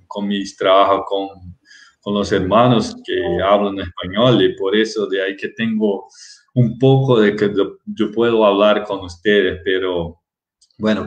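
A middle-aged Brazilian man lecturing over a video call, his voice sitting a little low. He speaks very slowly and carefully, restarting words as he goes. The webcam audio sounds roomy. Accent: Brazilian Portuguese. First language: Portuguese. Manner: halting, careful, frequent restarts. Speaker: guest lecturer. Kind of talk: online lecture with slides.

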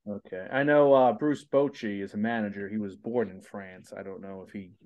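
A man's voice: on the low side, fairly even intonation, normal speed, a little mellow, lo-fi sound. Man, 40s, podcast host, upbeat chatter, home studio mic. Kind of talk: casual video-call interview. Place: small home studio room. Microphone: studio mic on boom arm.

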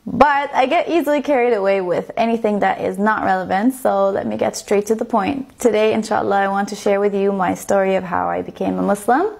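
A woman's voice: mid-range voice, fairly even intonation, a little fast, gravelly, hardly any pauses, darkish tone. A woman in her twenties from Estonia, a native Estonian speaker, telling a personal story casually as a video blogger, chatty and repeating words for emphasis. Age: 20s.

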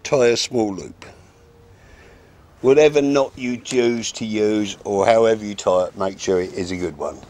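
A man's voice: lowish voice, a little slow, a little mellow. A man in his sixties, recorded outdoors in a garden, frankly talking through a fishing how-to step by step. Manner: plain, practical and frank, with short step-by-step instructions. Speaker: fishing instructor. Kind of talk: casual how-to demonstration. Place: outdoors in a garden by a wooden fence.

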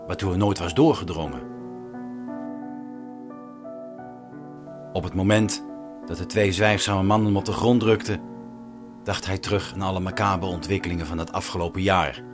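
A man narrating a radio drama in a solemn, measured, didactic way, as a stern storyteller. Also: low-pitched, natural voice. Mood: grave narration. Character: stern, measured male prison chaplain telling a parable. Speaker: narrator, actor and director of a radio play.

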